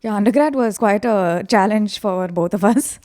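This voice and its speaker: A woman's voice: medium pitch, normal speed, darkish tone, no room sound, clean studio sound. Co-host: woman, easygoing and encouraging, conversational podcast delivery. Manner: supportive, fills in her co-host's sentences. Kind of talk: casual chat.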